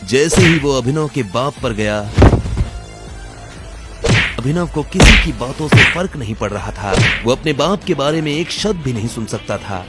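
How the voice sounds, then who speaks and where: Expressive male audio drama narrator, lively intonation. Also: medium pitch, normal speed, over loud music. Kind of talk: dramatic scripted story narration in Hindi.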